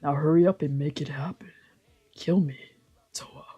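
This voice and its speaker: A streamer acts out a big, intense man from a visual novel. He speaks in a breathy, whispered growl, darkly devoted and unafraid of death. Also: medium pitch, fairly even intonation, normal speed, mellow, faint music behind. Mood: intense, urging.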